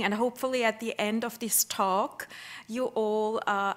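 A woman's voice: on the high side, a bit bright. Woman giving a lecture. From Switzerland. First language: Swiss German. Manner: friendly, explanatory, with frequent restarts and fillers. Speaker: keynote speaker. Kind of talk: conference lecture. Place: wood-panelled lecture hall, at a podium. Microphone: podium microphone.

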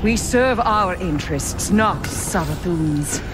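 A woman playing a solemn, resolute witch in a video game, speaking gravely and incantatorily. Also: lowish voice, animated, slow. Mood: grim resolve. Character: solemn, resolute witch performing a dark transformation ritual. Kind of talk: dramatic video game voice acting. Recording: loud dramatic music underneath. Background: loud game soundtrack.

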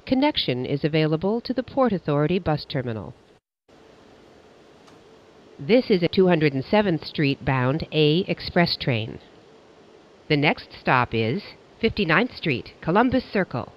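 A man's voice: fairly high pitch, big pitch swings, unhurried, highs cut off. A man reading scripted transit announcements as a train announcer, in formal, segmented phrasing.

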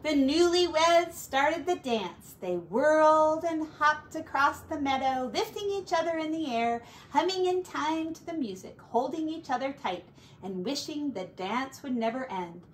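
A middle-aged woman reading a picture book aloud to children like a teacher, with deliberate, drawn-out words and little asides. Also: high voice, expressive, a little hiss or hum. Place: small furnished room at home.

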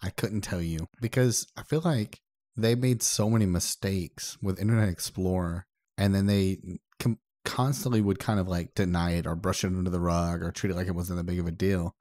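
A man's voice: sits low, steady tone, normal speed, darkish tone. A man co-hosting a podcast, discussing tech casually in a conversational, explanatory way. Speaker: podcast co-host.

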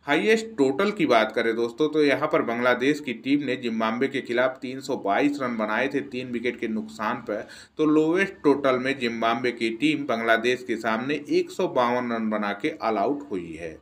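A young man hosting a cricket channel, reading a brisk, informative pitch report as a voice-over and addressing viewers as friends.

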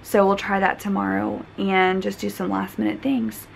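A young woman with a hoarse voice, talking earnestly to a handheld camera in a hotel room, with many fillers and restarts. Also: medium pitch, level tone, normal speed, slight background noise, poor audio. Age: young adult. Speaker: travel vlogger. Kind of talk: casual vlog chat. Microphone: phone camera at arm's length.

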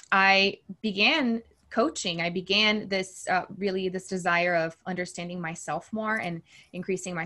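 A woman's voice: fairly low pitch, sharp tone. A woman in her thirties from Brazil, answering interview questions as a coach in an earnest, enthusiastic, conversational way. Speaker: coach and podcast host being interviewed.

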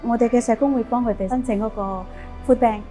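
A woman's voice: on the high side, steady tone, fast, soft background music. A woman in her thirties from Indonesia, a service-centre worker, speaking hesitantly with frequent er fillers in a documentary interview. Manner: warm-hearted, hesitant with er fillers. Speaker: program volunteer and staff.

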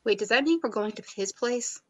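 A woman's voice: fairly high pitch, lively intonation, a little fast, darkish tone. A woman reading a visual novel's inner monologue aloud in a wry, chatty way. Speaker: game narrator and player.

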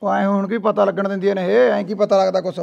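A man's voice: sits high, level tone, quick pace, a little mellow, highs cut off. A man playing a stern, dismissive father in a family quarrel. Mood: sarcastic. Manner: gruff, dismissive. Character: gruff stern father of the husband.

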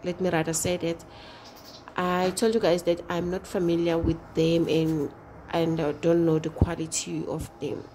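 A woman's voice: lowish voice, flat delivery, moderate pace, warm tone. A woman homemaking vlogger chatting casually to her viewers in a hesitant, conversational way. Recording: noisy home recording with clicks.

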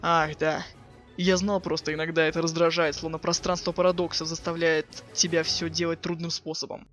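Man as a sharp-voiced, sarcastic cool teenage boy. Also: on the high side, flat delivery, a little fast, soft background music, close to an everyday voice. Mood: offhand. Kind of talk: fan dub of a webcomic chat.

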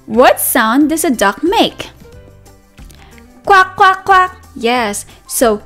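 High-pitched, slow woman reading to toddlers. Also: big pitch swings, a bit bright. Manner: sing-song, exaggerated, repeats words, asks the child questions. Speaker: children's read-aloud teacher. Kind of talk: picture-book read-aloud for toddlers. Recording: voice over background music. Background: music.

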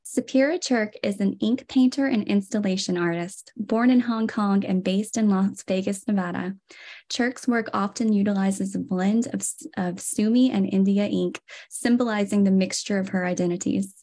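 A woman's voice: medium pitch, moderate pace, warm tone. A woman in her thirties, an enthusiastic host introducing artists on a video call from a room at home. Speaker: gallery curator and host. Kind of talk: welcoming introduction, reading artist bios.

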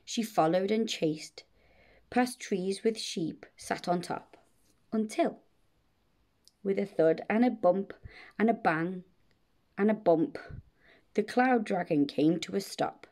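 A woman narrates a rhyming children's story. She takes it slowly and expressively, measuring out each phrase. Her tone is mellow, with a noticeable creak in it. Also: medium pitch. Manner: measured, expressive bedtime reading. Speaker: storybook reader.

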